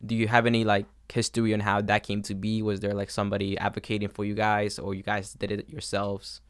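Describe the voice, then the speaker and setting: The guy hosting a small homemade podcast, lowish, smooth voice, wandering through his questions. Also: monotone, a little fast, no room sound. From has lived in a New York suburb. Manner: informal, rambling questions full of "like". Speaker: interviewer. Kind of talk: casual interview.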